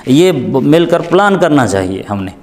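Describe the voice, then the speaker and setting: A man giving explanatory interview answers as a cleric, deliberate and repeating phrases for emphasis. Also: on the high side, sing-song, a little mellow.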